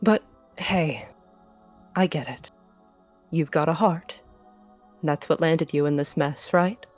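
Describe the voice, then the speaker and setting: A low, world-weary older man, played by a woman, resigned and regretful as he lays down hard truths. It is a visual novel reading, with faint music underneath. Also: slow pace, darkish tone.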